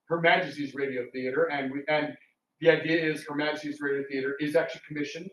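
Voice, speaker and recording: A man's voice: fairly high pitch, fairly even intonation, fairly quick, fairly bright tone. A man talking casually on a live panel as a radio theatre performer, chatty and theatrical in his storytelling. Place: indoor room, public talk at a library. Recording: roomy, distant mic with background noise.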